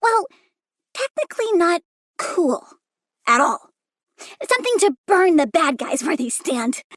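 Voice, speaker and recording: A woman playing a bubbly, bratty young plant-girl supervillain in a video game, childlike and menacing, flipping between gleeful chirps and sardonic deadpan. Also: very high-pitched, measured pace, dry recording, studio quality, full character voice. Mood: eager, proud. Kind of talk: video game character voice lines.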